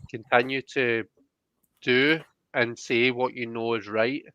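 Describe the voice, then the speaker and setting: A man's voice: medium pitch, steady tone, slow pace, sharp tone, smooth, no room sound, dull audio. A man giving a conversational tarot reading, explaining each point in a reflective, searching way. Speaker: tarot reader.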